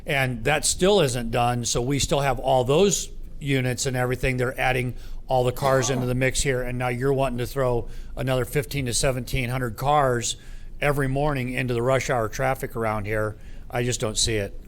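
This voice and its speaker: A man's voice: medium pitch, fairly even intonation, moderate pace. A man voicing objections as a resident at a public meeting, skeptical and complaining. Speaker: local resident.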